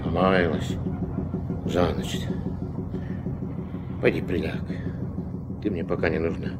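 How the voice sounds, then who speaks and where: An actor playing a gruff, steady old riverboat captain and grandfather with a curt, commanding way in a Soviet radio play. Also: deep voice, takes it slowly, very noisy. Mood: gently reassuring.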